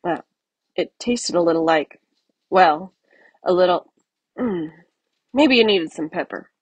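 A woman reading a children's story aloud in an expressive narrating style. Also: fairly low pitch, takes it slowly, husky, no echo. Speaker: storybook reader.

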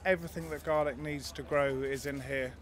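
A man's voice: mid-range voice, fairly even intonation, brisk. Friendly man explaining at a show stall. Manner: friendly, explanatory, with fillers and restarts. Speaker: garlic farm stall worker. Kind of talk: casual interview answers. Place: outdoor show stall under a marquee. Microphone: handheld mic held by the interviewer.

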